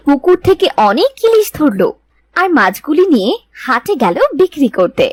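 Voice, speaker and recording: A woman's voice: high-pitched, very animated, normal speed, a little mellow, no room sound. A woman narrating a scripted cartoon folk tale as storyteller.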